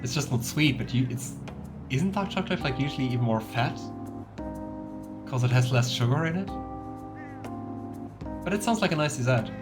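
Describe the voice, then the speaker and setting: A woman's voice: extremely low pitch, expressive, moderate pace, long pauses. A woman chatting casually and playfully as she livestreams a video game. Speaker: streamer playing and voicing a video game. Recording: game music behind the voice.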